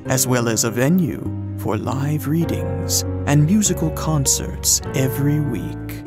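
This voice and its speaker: A man hosting a show, reading a scripted radio-style introduction as announcer. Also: medium pitch. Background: music.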